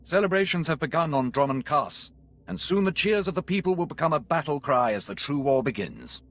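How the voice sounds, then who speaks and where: An actor playing a loyal Imperial aide in a video game, formal and measured. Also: male voice, a little high, big pitch swings, fairly bright tone. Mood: triumphant. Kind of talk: dramatic video game acting.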